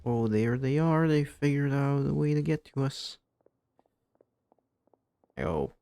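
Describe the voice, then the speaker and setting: A man's voice: mid-range voice, slow pace, creaky, warm tone, smooth, dry recording. A man giving casual live commentary while streaming a game.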